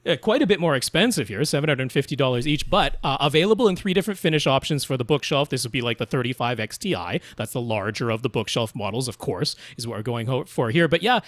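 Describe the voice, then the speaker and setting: A man's voice: medium pitch, lively intonation, fast talker, hardly any pauses, fairly bright tone, no room sound. A man co-hosting a podcast, giving detailed, casual gear advice in a rambling, explanatory way. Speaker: podcast co-host. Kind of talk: casual product advice and discussion.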